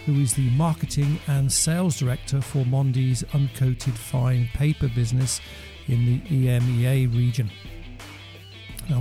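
A male podcast presenter with a soft, mellow tone and a mid-range pitch. He introduces the show and interviews his guest, with some music in the recording. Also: vocal fry. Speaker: podcast host and magazine news editor.

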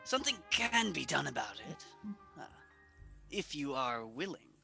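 A blunt, awkward professor from an old-time radio play, acted by a man. The voice is bright and gravelly, and the delivery is formal and halting, with faint music underneath. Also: a little high, sing-song, exaggerated voice. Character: awkward, blunt male professor, wary of women.

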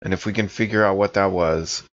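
A man's voice: low-pitched, steady tone, a little fast, highs cut off. A man improvising casually in character as a player in a tabletop horror game.

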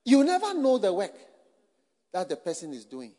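A man with a very high voice delivers a sermon to a full hall. He speaks rhetorically, repeating phrases and building to shouts. A long reverb from the hall trails each line. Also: wildly swooping pitch, a little slow. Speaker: preacher.

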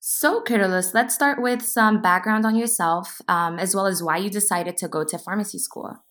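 A young woman in her twenties co-hosting an interview podcast, reading a clear intro and then asking organized questions. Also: medium pitch, normal speed, fairly bright tone. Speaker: podcast co-host.

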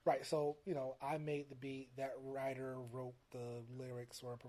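Casting note: soft-voiced male producer, mid pitch, halting delivery full of ums. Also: flat delivery. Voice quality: soft-spoken. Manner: hesitant, many fillers and restarts. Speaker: music producer guest. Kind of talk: casual interview.

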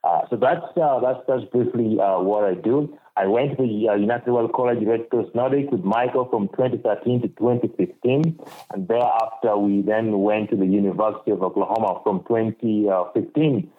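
A man's voice: fairly low pitch, normal speed. A young man from Uganda, a guest on a podcast interview, speaking earnestly and often repeating words as he thinks. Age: young adult. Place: home in Uganda, remote call. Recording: tinny phone-like call audio.

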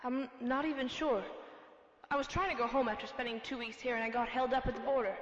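Echoing muffled recording, woman playing captive heroine, fairly high voice, earnest, theatrical, radio drama. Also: steady tone, fast talker, lightly acted. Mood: distressed. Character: plucky, wry young woman held prisoner, damsel in distress. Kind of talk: amateur radio drama acting. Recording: echoey hall, dull muffled sound.

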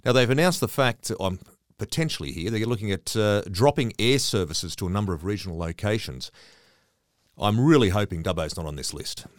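Fast, animated Australian male host, lowish voice, chatty. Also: no room sound, clean studio sound. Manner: chatty, quick affirmations. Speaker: radio host. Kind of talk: radio interview.

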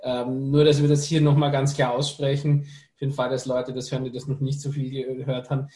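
A man's voice: medium pitch, flat delivery, brisk, warm tone. Host: man with a mid-range voice, explains patiently, with an echoey room sound. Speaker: podcast host. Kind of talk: casual podcast interview.

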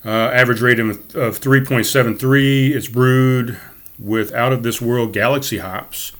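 A man hosting a casual podcast from his home studio, talking with a big, projecting voice and a rambling, relaxed flow. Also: medium pitch, normal speed. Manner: loud, projecting voice. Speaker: podcast host. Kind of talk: casual chat. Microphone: studio mic on a board.

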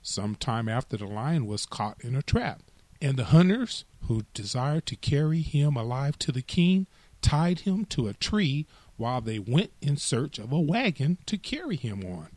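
A man narrates fables for children with a very animated, swooping delivery. He speaks as an expressive storytelling host, and the recording is close and dry. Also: mid-range voice, unhurried, no room sound.

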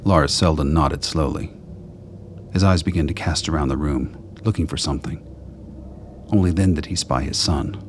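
A man's voice: rumbling low, moderate pace. A man narrating a scripted radio drama. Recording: clear background noise.

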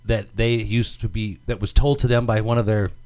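A man's voice: lowish voice, flat delivery, normal speed, a little mellow. A man hosting a podcast, chatting casually and playfully, joking and laughing along. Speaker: podcast host.

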